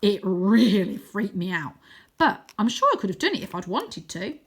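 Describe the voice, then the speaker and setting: A woman voicing an excitable, boastful boy who is eager about magic in a storybook reading. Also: medium pitch, moderate pace, slightly rough. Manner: eager, exclaiming.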